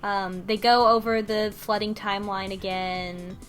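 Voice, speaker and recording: A woman's voice: medium pitch, steady tone, a little slow, a bit bright. A woman with a mid-range voice talks casually as a podcast host, recapping a story for the group. She speaks in a loose, conversational style, with faint music playing underneath. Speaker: podcast book club host.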